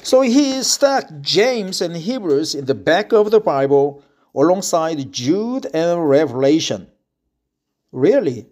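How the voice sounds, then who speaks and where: A man's voice: fairly high pitch, very animated, slow pace, a little mellow. A man who speaks English with a Korean accent reads an article aloud, repeating phrases and stopping to comment in a casual way. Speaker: reader practicing English.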